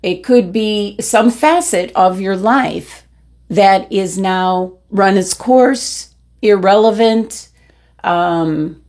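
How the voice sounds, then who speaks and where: A woman giving a weekly tarot reading, losing her voice, with a gentle, meandering delivery full of pauses and ums. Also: medium pitch. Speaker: tarot reader.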